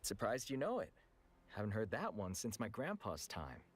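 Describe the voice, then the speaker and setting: An actor playing a frank, world-weary weapons merchant in a video game, with a casual, blunt manner. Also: male voice, fairly low pitch, wildly swooping pitch, moderate pace. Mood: businesslike. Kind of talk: scripted game voice acting. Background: background music.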